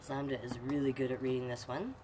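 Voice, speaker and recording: A woman's voice: very deep voice, steady tone, fast. A middle-aged woman hosting a children's storytime, reading aloud and chatting through the pictures for young viewers. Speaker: online storytime host and reader. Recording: clear background noise.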